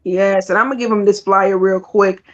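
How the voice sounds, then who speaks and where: A woman's voice: mid-range voice, steady tone, normal speed, highs cut off. A woman hosting a casual livestream interview, talking with folksy, sassy banter. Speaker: show host interviewing guests.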